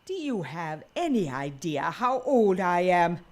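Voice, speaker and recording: A woman playing a weary, sardonic old woman in an audio drama, with a deliberate, dry and scornful manner. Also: lowish voice, very animated. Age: older.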